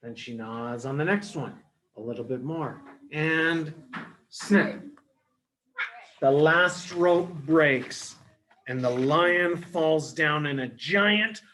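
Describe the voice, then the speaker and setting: A man telling a story to young children as a teacher, explaining things simply with dramatic pauses. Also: fairly high pitch, a bit bright, slight background noise, poor audio. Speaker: storyteller, early education teacher.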